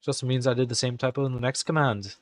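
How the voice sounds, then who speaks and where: A man's voice: mid-range voice, steady tone, quick pace. A young man live-streaming in a put-on Irish accent that slips now and then, chatting casually and muttering to himself while he types game commands.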